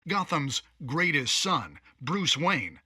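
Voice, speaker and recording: Casting: emphatic game newscaster, very bright, sharp voice, slightly high, polished delivery. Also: male voice, very animated, slow, breathy edge, exaggerated voice. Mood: grave. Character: polished, dramatic male TV news anchor. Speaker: news anchor. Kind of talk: scripted news report in game.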